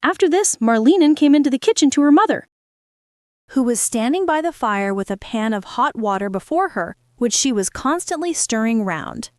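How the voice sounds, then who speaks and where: A woman narrates a children's fairy tale with expressive, dramatic storytelling. Her voice is high and very animated in pitch. It is close-miked in a dry room. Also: moderate pace, dry recording. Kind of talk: storybook read-aloud narration.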